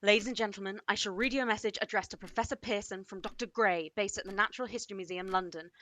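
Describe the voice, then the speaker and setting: An actress playing a female radio reporter in an old-style broadcast drama. She talks in an announcer's manner, with a middling voice that is neither high nor low. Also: steady tone, fairly bright tone, natural voice. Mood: poised. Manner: brisk announcing, flustered on scene. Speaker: radio commentator. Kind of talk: amateur radio play acting.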